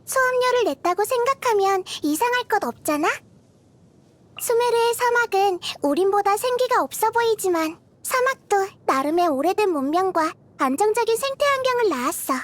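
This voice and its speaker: A woman voicing a gentle, curious little girl deity of wisdom in a game, soft-spoken and thoughtful, as if kindly explaining. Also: very high-pitched, animated, normal speed. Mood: wise, musing. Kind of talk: scripted game character voice lines. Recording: music behind the voice. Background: background music.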